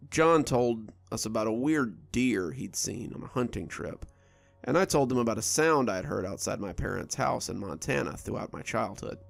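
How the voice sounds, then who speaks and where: A man reading a first-person horror story aloud in a steady, low storytelling delivery. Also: gravelly, no room sound. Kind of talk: scripted horror narration.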